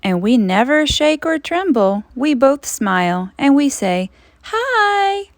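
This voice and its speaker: A woman teacher reading a storybook aloud to children, expressively, with dramatic emphasis and suspenseful drawn-out phrases. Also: high-pitched, big pitch swings, dry recording, clean studio sound.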